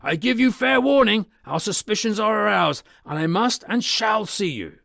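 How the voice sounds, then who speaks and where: A man voicing a stern middle-aged male lawyer who talks in a formal, commanding way with a gravelly edge. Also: on the high side, lively intonation, normal speed, no echo, studio quality, pitched above the performer's own voice, heavily acted.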